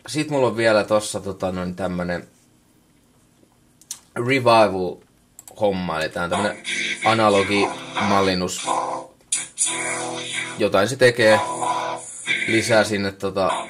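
Low-voiced Finnish man, casual tutorial talk. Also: long pauses, music in the background. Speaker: music production tutorial maker. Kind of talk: casual unscripted software walkthrough. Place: indoors at a computer workstation. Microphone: camera microphone.

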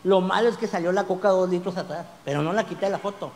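A man, doing an animated stand-up routine full of crude slang on a small club stage, holding a handheld mic. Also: high voice, quick pace, big-room sound, slight background noise. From Mexico. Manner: animated, gesturing broadly, crude slang, rising to shouts on punchlines. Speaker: stand-up comedian.

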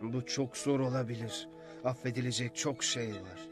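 A man plays a dignified captive king in a dubbed cartoon. He sounds weary and measured at a middling pitch, with soft music behind him. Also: steady tone, close to an everyday voice. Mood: grave, patient. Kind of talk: dubbed cartoon drama acting.

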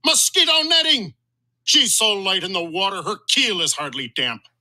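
A man voicing a blustering, gruff middle-aged sea captain who roars his lines. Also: fairly high pitch, animated, normal speed, bright, forceful tone, exaggerated voice. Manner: roaring, blustery.

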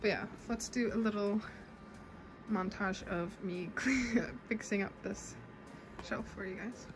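A young woman talks casually to her vlog camera in a bedroom at home. Her voice sits at an ordinary pitch for a woman, with music clearly playing behind her. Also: steady tone, slow. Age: young adult. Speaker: vlogger.

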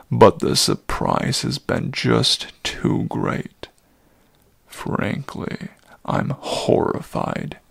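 A man voicing a very old, weary male centaur with a deep, gravelly voice, pleading slowly and heavily in a dramatic storybook reading. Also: no room sound, full character voice. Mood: horrified. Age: older.

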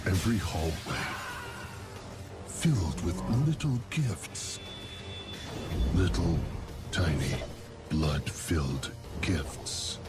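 An actor playing a sadistic, gloating serial killer in a video game horror monologue, gravelly and menacing, at times sinking to a whisper. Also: male voice, low-pitched, sing-song, over loud music, full character voice. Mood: gloating, savoring. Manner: slow, savoring, menacing delivery.

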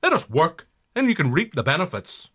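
An actor playing a feisty, world-weary old male film director in a radio drama, excitable and sweary. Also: fairly high pitch, brisk, breathy edge, heavily acted. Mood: indignant.

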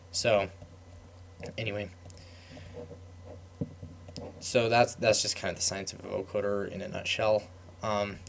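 A young man giving a casual, unscripted software tutorial, rambling and trailing off mid-thought in an informal way. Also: on the low side, a little hiss or hum.

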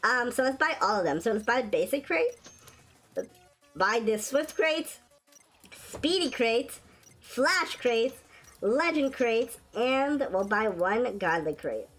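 Excitable woman as gaming host, raspy sore throat, lively high voice, faint music bed. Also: slow. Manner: excitable, shrieks and laughs at wins and deaths. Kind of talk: casual gameplay commentary. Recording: faint game music behind.

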